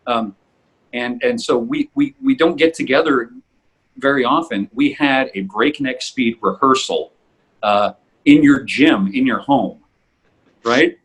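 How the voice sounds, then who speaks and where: Man, slightly rough husky voice, casual podcast host, halting delivery with repeated words. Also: medium pitch, a bit bright, rough recording. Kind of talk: casual conversational introduction.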